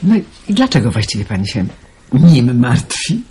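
Husky, consoling older woman. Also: very deep voice, sing-song, normal speed, mellow, full character voice. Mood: teasing. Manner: reassuring, philosophical. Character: philosophical older woman neighbour, consoling and resigned. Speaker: radio actress. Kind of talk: 1990s radio drama acting.

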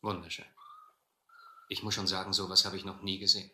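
A man acting a shrewd, adventurous seafarer in a radio drama, deliberate and controlled with a touch of irony. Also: deep voice, level tone, bright, forceful tone. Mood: polite, probing. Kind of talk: radio drama acting, first-person narration and dialogue. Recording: roomy, slightly noisy old radio-play recording.

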